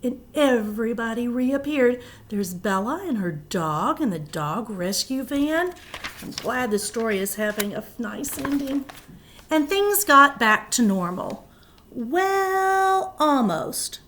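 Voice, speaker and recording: A woman teacher reading a picture book aloud to young children, animated and expressive, with chatty asides. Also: fairly high pitch, slow.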